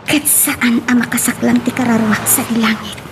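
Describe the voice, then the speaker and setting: Woman as high-voiced, emotional young daughter. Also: fairly even intonation, moderate pace, slight background noise, natural voice. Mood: remorseful. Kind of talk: Ilocano radio drama acting.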